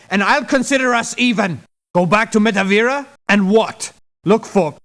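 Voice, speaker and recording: A high-pitched, scornful mercenary. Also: male voice, fairly bright tone. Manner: sarcastic, scornful. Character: exasperated, dismissive, sharp-tongued male mercenary. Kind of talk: video game character voice lines.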